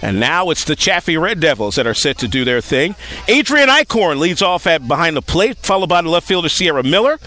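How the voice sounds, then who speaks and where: Guy doing softball play by play, high-ish voice, really animated, outside at the field. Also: fairly quick. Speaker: play-by-play sports announcer. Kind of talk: live softball play-by-play commentary. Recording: outdoor ballfield broadcast.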